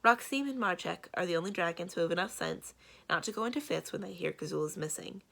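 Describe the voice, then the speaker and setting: A woman voicing a headstrong, capable young princess in a storybook, brisk and self-assured, quick to retort. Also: lowish voice, animated, creaky, a bit bright, exaggerated voice. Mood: frank, amused. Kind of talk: storybook read-aloud.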